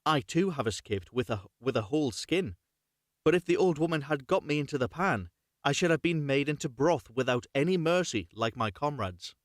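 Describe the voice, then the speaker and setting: A man's voice: a little high, animated, moderate pace, no echo, studio quality, natural voice. A man reading the part of a sensible, companionable talking bean in a storybook, with formal phrasing. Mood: relieved.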